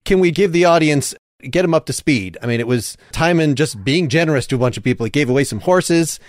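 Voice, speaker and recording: A man's voice: fairly high pitch, animated, fairly quick, dry recording, studio quality. A man hosting an audio play reading, giving casual recaps and reading out stage directions. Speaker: podcast host and stage-direction reader.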